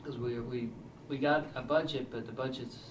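A man's voice: medium pitch, steady tone, moderate pace. A man in his thirties, interviewer and camera operator, talking casually with many hesitant fillers. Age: 30s. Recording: echoey room, distant noisy mic.

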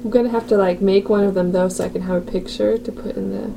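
A woman with a medium-pitched voice speaks softly and hesitantly in casual conversation. Noticeable background noise sits under the recording. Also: fairly even intonation, quick pace, gravelly. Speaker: language learner.

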